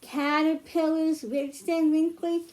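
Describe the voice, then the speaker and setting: American female children's librarian, high register, slow delivery, child-directed read-aloud and simple teaching. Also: flat delivery, a little mellow. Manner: simple, child-directed phrasing with questions to listeners. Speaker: children's librarian hosting storytime.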